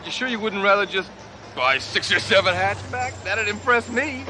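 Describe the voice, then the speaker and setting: An eager car salesman, played by a man, high and chirpy with folksy patter. Traffic and street noise fill the open-air lot around him. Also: normal speed, close to an everyday voice.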